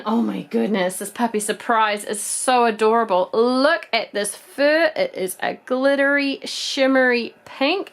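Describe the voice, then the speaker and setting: A woman, casually showing off secondhand plush toys in a chatty, gushing way. Her voice is a bit croaky from a cold. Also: on the high side. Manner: chatty, gushing over toys, frequent ums. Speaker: toy collector vlogger. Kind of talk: casual haul show-and-tell.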